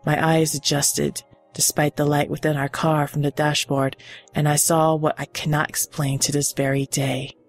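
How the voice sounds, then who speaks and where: Low-voiced woman reading horror, over music. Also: little pitch movement. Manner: suspenseful, with deliberate pauses for emphasis. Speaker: horror story reader. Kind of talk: dramatic scripted narration of a first-person horror story. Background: background music.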